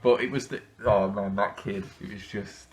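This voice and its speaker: A man's voice: lowish voice, steady tone, moderate pace. A man casually recounting a moment he remembers, in conversation. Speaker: pantomime cast member. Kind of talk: casual chat, recounting a memory. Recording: echoey room, faint noise.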